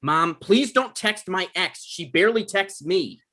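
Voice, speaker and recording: A man playing a deadpan, argumentative adult son and aspiring writer in a comedy script read. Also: sits high, unhurried, exaggerated voice. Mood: exasperated.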